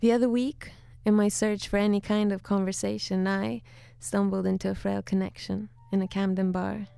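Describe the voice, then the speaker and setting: A woman from Sweden with a Swedish accent, chatting casually as a studio guest and then reading her poetry slowly and deliberately. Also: medium pitch, flat delivery, vocal fry, dark tone, smooth, no room sound. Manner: laughs easily, reads poetry slowly and deliberately. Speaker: guest poet. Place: radio studio.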